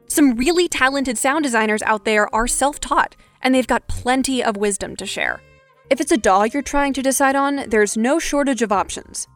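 A young woman hosting a podcast, giving clear, instructive scripted narration and asking interview questions. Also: a little high, fairly bright tone. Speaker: podcast host.

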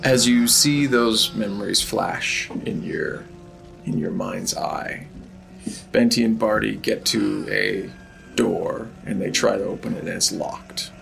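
A man narrating a tabletop game as game master, halting with fillers, over faint music. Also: on the low side, gravelly, fairly bright tone, low-quality recording.

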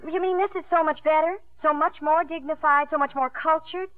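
A woman's voice: high voice, steady tone, fairly quick, close to an everyday voice. An actress playing an earnest, ambitious young woman swimmer in a 1950s radio drama. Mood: indignant. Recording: muffled old 1950s radio broadcast.

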